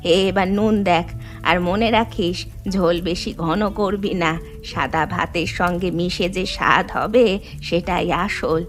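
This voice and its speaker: একজন মহিলার কণ্ঠে স্নেহময়ী বৃদ্ধা শাশুড়ি. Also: female voice, on the low side, measured pace, natural voice. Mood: instructive, proud. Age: older. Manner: tender, advising, plain homely speech. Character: old Bengali mother-in-law, affectionate, gentle, home cook. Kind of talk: animated moral story dialogue. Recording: music behind the voice.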